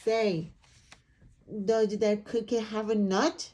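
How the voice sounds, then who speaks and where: A woman's voice: medium pitch, fairly even intonation, takes it slowly, dark tone, natural voice. Woman voicing an indignant, whiny pigeon, very drawn out. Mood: suspicious. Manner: whiny, emphatic, repetitive. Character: indignant, dramatic pigeon who complains and pleads. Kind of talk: picture book read-aloud character.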